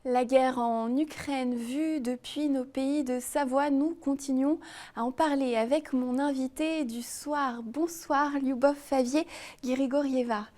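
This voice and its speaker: A woman anchoring the evening news, her voice high and mellow. She asks her questions gently and steadily. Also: steady tone, takes it slowly. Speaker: news presenter.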